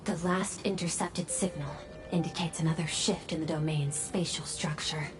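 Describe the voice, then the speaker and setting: A woman's voice: sits low, steady tone, normal speed, music in the background, lightly acted. A woman voicing a logical, loyal female machine in a video game, speaking in stilted, clipped robotic phrases. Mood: formal, urgent.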